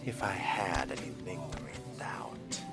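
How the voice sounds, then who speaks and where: A man's voice: sits low, lively intonation, measured pace. A man playing a suave, predatory vampire in video game role-play, speaking formally and theatrically in a sardonic, often whispery murmur. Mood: sly musing. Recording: voice over loud game music.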